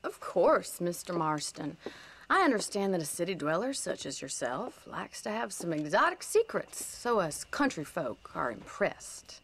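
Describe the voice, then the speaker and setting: A woman's voice: lowish voice, sing-song, measured pace, a bit bright, slight background noise, full character voice. A woman voicing a spirited young rancher in a game cutscene, forthright and teasing in her plainspoken talk. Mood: playful. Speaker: ranch owner. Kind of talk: video game cutscene dialogue.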